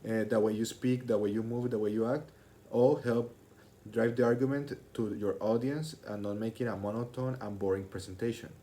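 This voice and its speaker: Mellow-voiced young man giving a class speech. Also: on the low side, fairly even intonation, normal speed, a little hiss or hum. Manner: formal, expository, reading from prepared text. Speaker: student speaker.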